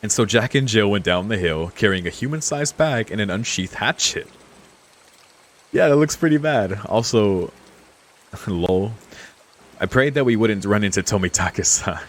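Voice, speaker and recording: A man's voice: lowish voice, animated, moderate pace. A man streaming a game, reading text aloud and adding casual, laid-back commentary. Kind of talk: casual let's play commentary and read-aloud.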